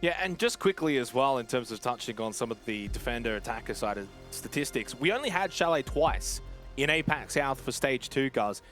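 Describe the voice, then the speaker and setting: A man's voice: medium pitch, expressive, a little fast, fairly bright tone. A man giving live play-by-play and analysis of a competitive shooter game in a fluent, analytical way. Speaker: esports commentator. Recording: music behind the voice. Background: music.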